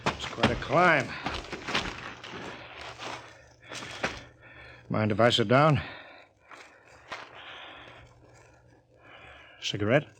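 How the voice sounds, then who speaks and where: An actor playing a steady, reasoning rocket crew captain in a 1950s radio drama, terse and measured. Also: male voice, medium pitch, background noise, lightly acted. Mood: calm, probing. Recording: old 1950 radio recording.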